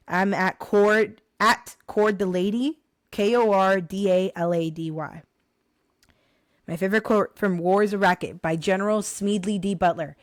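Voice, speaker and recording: A young woman vlogger, hoarse from recent illness, talking casually and animatedly to her viewers about books. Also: medium pitch, dry recording, studio quality. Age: young adult. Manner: animated, addresses viewers as guys, many restarts. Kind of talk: casual direct-to-camera talk.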